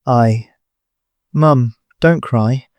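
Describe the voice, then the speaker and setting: An actor playing a torn, peacemaking son in an audio drama, hesitant, placating and helpless. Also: male voice, medium pitch, extremely slow, darkish tone, no room sound. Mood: distressed, helpless.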